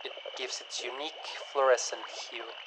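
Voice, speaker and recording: A guarded, dutiful scientist played by a man in a dystopian audio drama. His medium-pitched delivery is stiff, precise and pedantic, over faint background ambience. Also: fairly even intonation, normal speed, noisy background, close to an everyday voice. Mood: lecturing, stern. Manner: stiff, precise, lecturing, evasive.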